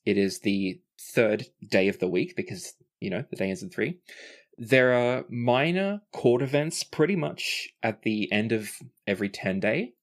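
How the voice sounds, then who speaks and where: A man's voice: fairly low pitch, expressive, a little slow. A man narrating a tabletop role-playing game as game master.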